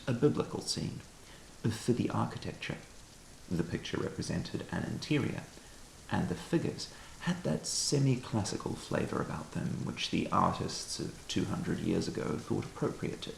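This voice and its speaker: A man reading a literary ghost story as a narrator, in a measured, formal storytelling manner. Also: fairly low pitch, gravelly, darkish tone. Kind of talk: scripted literary audiobook narration. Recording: echoey room with faint hiss.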